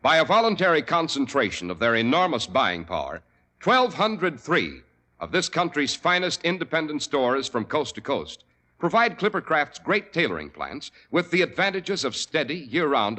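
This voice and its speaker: A man announcing a 1940s radio drama and reading its sponsor's clothing pitch in a crisp, salesmanlike way. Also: pushed and bright.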